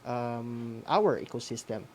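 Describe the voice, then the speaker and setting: A man's voice: medium pitch, takes it slowly. Man, Filipino accent, hesitant phrasing, frequent tag questions, informal educational host. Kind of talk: informal lesson recap to students.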